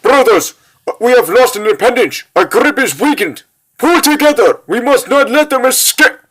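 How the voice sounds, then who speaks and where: A man's voice: very high voice, expressive, moderate pace, fairly bright tone, breathy edge, full character voice, low-quality recording. A man voicing a commanding leader of mystic monks in a comic book dub, solemn and declamatory. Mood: urgent, commanding. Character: commanding monk leader of a psychic order. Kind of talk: comic book dub, dramatic character voices.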